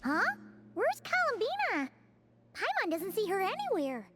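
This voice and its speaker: A woman voicing a tiny, excitable, childlike fairy companion in a video game, squeaky and exclamatory. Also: unhurried, exaggerated voice. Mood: puzzled, wary. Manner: refers to herself in third person, exclamatory. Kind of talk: animated video game voice acting. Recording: music behind the voice. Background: game music.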